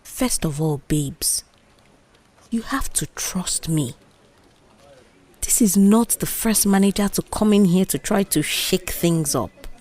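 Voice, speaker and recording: Bold, scheming office girlfriend, played by the narrator; Nigerian woman, confident and protective, slow deliberate delivery, audio drama. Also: fairly low pitch, animated, mellow. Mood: sly, confident. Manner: confident, reassuring, mischievous.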